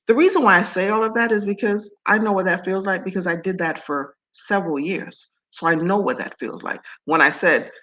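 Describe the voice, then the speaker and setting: A middle-aged woman from Antigua with a deep voice, talking as a guest in an interview in an animated, emphatic way. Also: brisk, few pauses, poor audio. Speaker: guest speaker and coach. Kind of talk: conversational interview.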